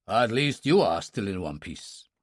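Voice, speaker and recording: A calm older kung fu monk, as a male reader puts him on, speaking slowly and formally in a lowered, deep register. Each word is measured and unhurried, with a dry, close sound. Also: no room sound. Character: calm elderly male Shaolin monk, Chinese kung fu master.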